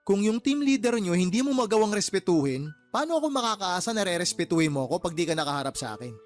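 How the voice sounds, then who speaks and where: Firm fair uncle whos also the boss, lecturing, radio drama, soft music behind. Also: male voice, high voice, sing-song, fast talker. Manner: admonishing, reasoning. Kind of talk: Tagalog radio drama acting.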